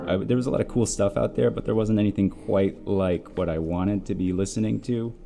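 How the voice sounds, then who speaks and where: A man with a low voice talks casually in an interview, as a composer describing his work. Loud music runs under his words throughout. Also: fairly even intonation, a little fast, creaky. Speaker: interviewed audio drama composer. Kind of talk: relaxed interview talk about his work and influences.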